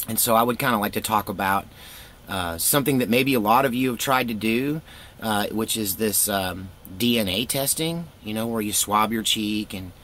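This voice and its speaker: A man's voice: on the low side, fairly bright tone. A middle-aged man telling personal stories in a casual, rambling, laid-back way with wry self-deprecation. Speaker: storytelling vlogger, former youth pastor.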